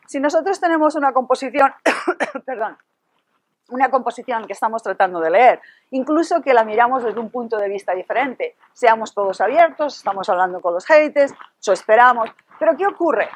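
Woman teacher w a high voice up front in a classroom, picked up from a distance, super animated, lots of "ok?". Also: a little fast. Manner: animated, gestures a lot, sprinkles English fillers like "ok" and "right"; asks the audience rhetorical questions. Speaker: workshop presenter. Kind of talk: live classroom lecture. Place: classroom with projection screen. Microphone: room camera at a distance.

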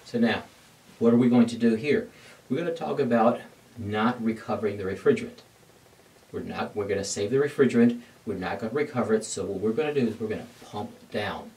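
A middle-aged man teaching an HVAC lesson at a glass board in a dark studio, explaining each step and answering his own questions. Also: fairly low pitch, brisk, distant mic, low-quality recording. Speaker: HVAC instructor. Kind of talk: whiteboard technical lesson.

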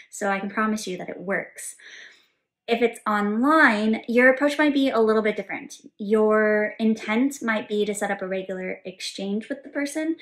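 A woman in her thirties, the resident polyglot, answering viewer questions in a clear, explanatory way from a home office. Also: medium pitch, a bit bright. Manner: clear, explanatory, gestures with both hands. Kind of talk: scripted Q&A to camera. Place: home office with bookshelves and world map, indoors.

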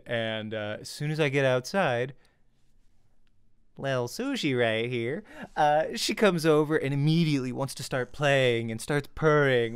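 A young man chatting casually as a vlogger, telling a personal story in an animated way and acting out reactions, close on a desk mic at home. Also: mid-range voice, smooth. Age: young adult. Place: small home room. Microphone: desk studio mic with pop filter, close.

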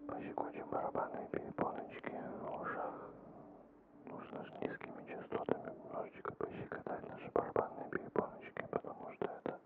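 A man in his forties whispering breathy, soothing, unhurried ASMR talk close to the microphone. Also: phone-quality sound. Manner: calming, unhurried, playful rambling. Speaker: ASMR host. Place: small dim room indoors. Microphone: close studio microphone with foam cover. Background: rain and thunder sound effects.

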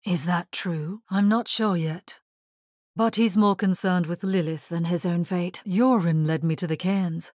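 Grave lady necromancer for a video game, lowish voice, formal and restrained, dry close sound. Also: steady tone, measured pace, a little mellow, no room sound. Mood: serious, urgent. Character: solemn, grave female necromancer adventurer. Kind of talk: scripted video game character voice lines.

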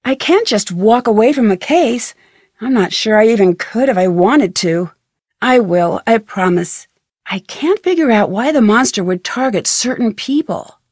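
A woman's voice: fairly high pitch, lively intonation, measured pace, darkish tone, no room sound, clean studio sound. A young woman voicing a friendly, curious, plucky amateur detective in a video game, with earnest, conversational delivery. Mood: concerned, resolute.

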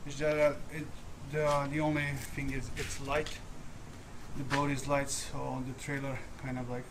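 Halting, monotone man, slow delivery. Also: mid-range voice. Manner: halting, hesitant, pausing often mid-sentence. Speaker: vlogger showing his gear. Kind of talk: unscripted casual walkthrough. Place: outdoors in a residential driveway. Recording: clear outdoor ambient noise.

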